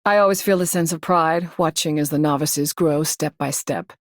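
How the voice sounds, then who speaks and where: A composed, proud female mentor from a game, played by a woman. Her voice is low, measured and solemn, with a dry, close sound. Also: dry recording, natural voice. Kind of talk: scripted game character voice lines.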